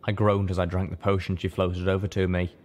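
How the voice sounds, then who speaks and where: A man reading first-person fiction as an audiobook narrator, with measured, dramatic storytelling. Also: deep voice, monotone.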